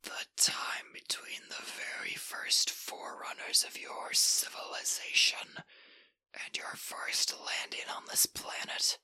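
A man voicing an ancient gray Martian creature in a slow, solemn, rasping whisper. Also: pushed and bright. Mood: stern. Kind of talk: audiobook character voice.

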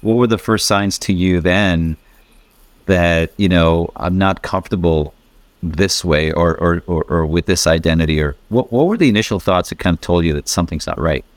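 A man's voice: low voice, normal speed, dry recording. A man asking questions conversationally as a podcast interviewer.

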